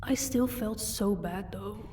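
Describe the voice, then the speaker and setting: A woman's voice: medium pitch, slow pace, mellow, a little hiss or hum, lightly acted. Woman acting composed female vampire, slightly distant. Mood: sheepish, casual. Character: commanding female vampire, protective wife, cold to captives. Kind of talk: audio roleplay acting.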